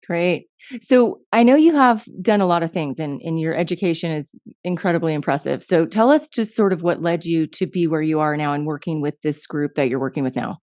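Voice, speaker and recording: A woman's voice: low-pitched, brisk, darkish tone, no room sound, clean studio sound. A woman hosting a health podcast, reading an introduction and asking encouraging questions. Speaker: podcast host and ketogenic diet coach. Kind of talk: scripted intro then interview questions.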